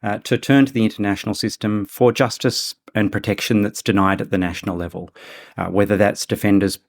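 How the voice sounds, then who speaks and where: A man from Australia, a human rights advocate, giving formal, deliberate interview answers. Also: fairly low pitch, fairly even intonation.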